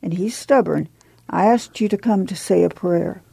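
A woman voicing a frail, dying migrant wife, gentle but insistent. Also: on the low side, a little slow, gravelly, no room sound. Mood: pleading.

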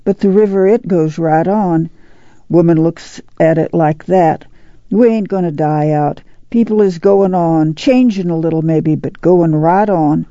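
A woman playing a steady, soothing rural mother in a dramatized reading, with plain-spoken country speech. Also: fairly low pitch, a little slow, no echo, natural voice. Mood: firm, hopeful. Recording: dull, muffled audio.

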